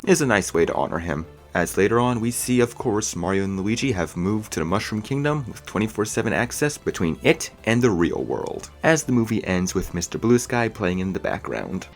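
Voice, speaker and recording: A man with a fairly low voice delivers an opinionated movie review over faint music. He hosts in an emphatic way, leaning on and stretching the words he wants to stress. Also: lively intonation, a little fast, few pauses. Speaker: video reviewer and host. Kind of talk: opinionated film review commentary. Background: music under the voice.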